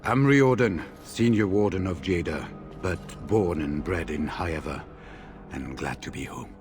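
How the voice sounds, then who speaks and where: An actor playing a weary, courteous senior warden freed from captivity, measured and formal, in a video game. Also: male voice, sits low, over music. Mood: grave, relieved. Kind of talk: video game cutscene acting.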